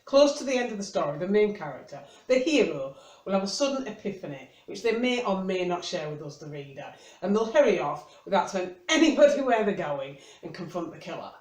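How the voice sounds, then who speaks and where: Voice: mid-range voice, very animated, fairly quick. A book reviewer giving wry, scripted commentary in a dry, tongue-in-cheek manner. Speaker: book reviewer and video host. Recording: roomy, echoing sound.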